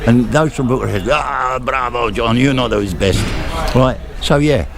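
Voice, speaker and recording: A man's voice: medium pitch, a little slow, creaky. An older man, a football stadium announcer, telling jokey stories and acting out the voices he quotes. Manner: chatty, jokey storyteller who acts out quoted voices. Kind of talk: storytelling chat. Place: outdoors at a football ground. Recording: crowd noise behind. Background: crowd.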